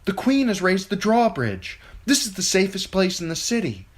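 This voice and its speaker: Dude putting on a scared young girl voice, high, trying to sound calm. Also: normal speed. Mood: reassuring. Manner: earnest, trying to soothe. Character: frightened young noble girl.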